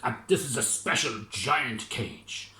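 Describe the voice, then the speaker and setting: A man voicing a proud, self-assured male inventor in a children's story, explaining his plan slowly. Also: medium pitch, bright, forceful tone. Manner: deliberate, self-assured, drawn out. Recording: roomy with faint noise.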